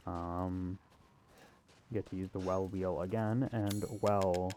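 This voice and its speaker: A man's voice: low-pitched, fairly even intonation, slow, darkish tone, slight background noise. A man giving a casual, unscripted video game walkthrough in an offhand, self-deprecating way. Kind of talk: casual unscripted gameplay commentary.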